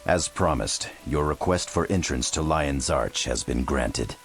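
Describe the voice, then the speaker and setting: An actor playing a gruff, solemn soldier of a holy order in a video game cutscene, speaking gravely and formally. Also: male voice, a bass voice, unhurried.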